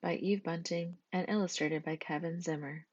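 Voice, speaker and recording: A woman's voice: lowish voice, fairly even intonation, normal speed, no room sound, dull audio. A woman reading a scripted children's story as its narrator. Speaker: storybook reader.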